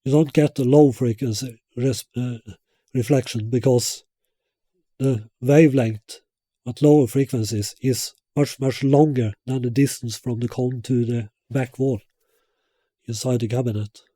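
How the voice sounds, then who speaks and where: A man explains technical design at a very unhurried pace, with hesitant, halting phrasing. His voice is soft-edged and mellow, captured in a dry, close sound. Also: medium pitch, fairly even intonation, dry recording. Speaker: loudspeaker designer presenting own product. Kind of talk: product presentation with technical explanation.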